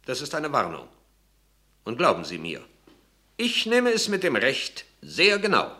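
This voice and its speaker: A stern, bright-voiced lawyer. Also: male voice, mid-range voice, wildly swooping pitch, measured pace, full character voice. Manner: cool, precise, lecturing. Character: stern, exacting middle-aged lawyer. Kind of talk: radio play acting.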